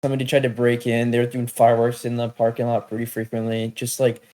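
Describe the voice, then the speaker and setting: A man's voice: medium pitch, monotone, fast, mellow. A young man co-hosting a podcast, telling stories in a laid-back, slangy manner. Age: young adult. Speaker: podcast co-host. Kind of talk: casual chat.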